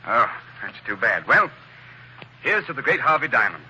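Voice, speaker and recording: A man playing a polite, measured businessman collecting payment in a 1940s radio drama. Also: mid-range voice, very animated, pushed and bright, dull audio, slight background noise.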